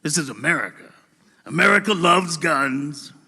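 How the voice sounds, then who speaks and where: A man acts out a talking bullet in an audio drama, cocky and callous, boasting and wisecracking. The voice is a slightly high male one, forceful and sharp, and takes its time. Long reverb surrounds it. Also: normal speed, slightly rough, heavily acted. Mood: boastful, sardonic.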